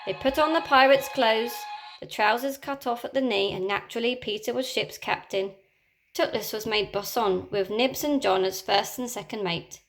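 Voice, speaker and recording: A woman teacher reading a children's storybook aloud, with expressive, dramatic storytelling. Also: a little high, moderate pace, faint music behind.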